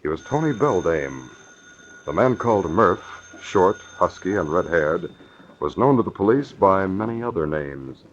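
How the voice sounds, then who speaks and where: A man's voice: low-pitched, very animated, unhurried. A man delivering grave, scripted 1940s radio narration for a crime serial, on a muffled old recording with faint noise. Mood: grave, suspenseful.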